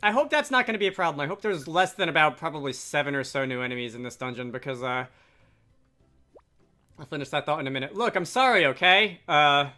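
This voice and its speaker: A man's voice: on the high side, fast talker, sharp tone. A man doing casual let's play commentary with wry, rambling asides. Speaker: video game let's player. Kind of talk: casual gameplay commentary mixed with reading dialogue aloud. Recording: game music behind. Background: video game music.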